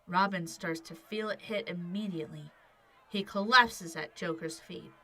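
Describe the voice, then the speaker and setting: A woman's voice: fairly low pitch, normal speed, sharp tone. A woman narrating an audio drama from a script as its storyteller.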